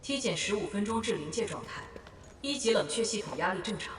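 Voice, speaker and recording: A woman's voice: medium pitch, lively intonation, fairly quick, lightly acted. A woman voicing an impersonal security system in a video game, making clipped, official automated announcements.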